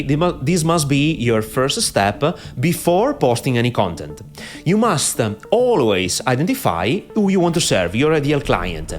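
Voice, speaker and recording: A middle-aged man with a strong Italian accent, a non-native English speaker, giving online marketing advice straight to camera with many "uh" fillers and emphatic lifts on key phrases. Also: mid-range voice. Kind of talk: direct-to-camera advice talk. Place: in front of a green screen. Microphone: podcaster microphone.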